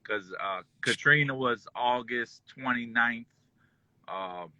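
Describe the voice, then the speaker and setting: Man, interview guest, very bright tone, dry close sound, rambling casual storytelling. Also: medium pitch, slow pace, no room sound, dull audio. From born in Kuwait, raised in Detroit and New Orleans. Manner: relaxed, rambling with many fillers. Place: at home, just pulled into driveway.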